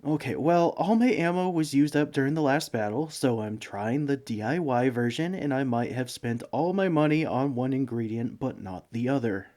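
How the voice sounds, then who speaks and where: A man's voice: medium pitch, animated, moderate pace, hardly any pauses, a little mellow, close to an everyday voice. A man playing a bumbling, broke villain neighbor in a comedic audio roleplay, speaking in a hesitant, pleading, self-justifying way. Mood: sheepish. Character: broke, bumbling, sheepish male supervillain neighbor begging for sugar. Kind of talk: comedic ASMR roleplay monologue.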